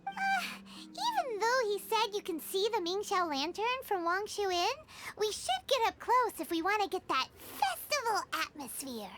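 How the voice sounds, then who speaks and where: A woman playing a tiny, bossy, childlike fairy companion in a video game, squeaky and excitable. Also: moderate pace, full character voice. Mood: eager. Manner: squeaky, childlike, refers to herself in third person. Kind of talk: English video game voice acting. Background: game music.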